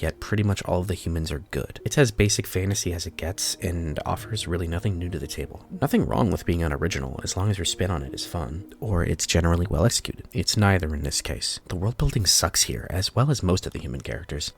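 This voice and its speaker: A man's voice: low-pitched, creaky. A man in his early twenties, nasal and stuffy from a sinus infection, reading blunt, opinionated film reviews in a scripted voice-over. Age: early 20s. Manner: blunt, opinionated verdicts with scores.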